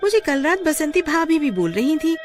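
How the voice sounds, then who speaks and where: A woman's voice: high-pitched, sing-song, normal speed, soft background music, voice pushed up. A woman voicing a mocking village gossip in a cartoon story, teasing in an exaggerated way. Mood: mocking, gossipy.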